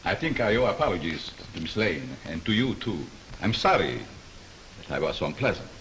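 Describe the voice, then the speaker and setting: An actor playing a formal, clipped studio boss in an early 1930s film. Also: male voice, low-pitched, very animated, brisk, fairly bright tone, a little hiss or hum, low-quality recording.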